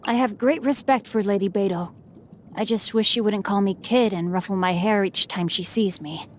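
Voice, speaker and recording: A woman's voice: mid-range voice, moderate pace, slight background noise, natural voice. A woman voicing a bookish, mischievous young noble boy in a video game, speaking in refined, formal phrases with a playful, teasing manner. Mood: thoughtful, polite. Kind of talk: scripted game character voicelines. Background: background music.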